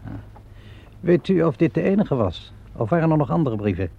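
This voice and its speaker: An actor playing a calm, courteous and dryly wry police inspector, questioning politely in an old radio play. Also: male voice, on the high side, gravelly, slightly rough, exaggerated voice. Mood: probing. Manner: measured, polite questioning with hesitant interjections. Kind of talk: vintage Dutch radio play acting. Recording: dull lo-fi vintage radio recording.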